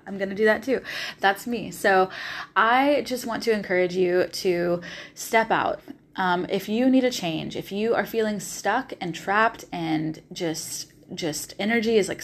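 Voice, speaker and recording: An enthusiastic woman with a Southern American accent talks to her podcast listeners in a loose, motivational monologue. She fills her speech with "like" and "um", swears without hesitation and sits at a middle pitch for a woman. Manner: enthusiastic, uses fillers and swearing freely. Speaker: podcast host.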